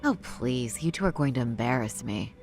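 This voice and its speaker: A woman voicing a reserved, dryly polite young lawyer in a video game English dub, measured and understated. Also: extremely low pitch, animated, darkish tone, faint music behind.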